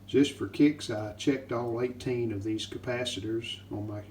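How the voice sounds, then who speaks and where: A man with a mid-pitched voice hosts a casual repair walkthrough. He is plain-spoken and matter-of-fact, keeping his sentences short and clipped. There is a little background noise. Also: steady tone, a little slow, a little mellow. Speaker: host of a vintage radio repair video. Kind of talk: casual hands-on restoration walkthrough. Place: indoors at home.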